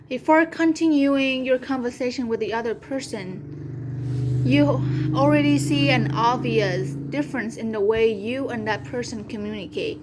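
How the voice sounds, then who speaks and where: A woman's voice: a little high, slight background noise. Earnest young woman, Vietnamese first language. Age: young adult. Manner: earnest, careful, repeats phrases when restarting. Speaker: community college student. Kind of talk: class presentation speech. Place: home room, online class video.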